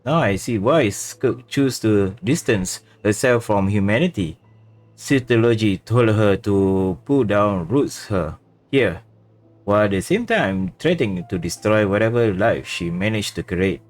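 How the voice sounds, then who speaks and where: A man's voice: on the low side, animated, unhurried, darkish tone. A man voicing an earnest, curious male companion in video game dialogue, pondering in an everyday way. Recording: faint music behind.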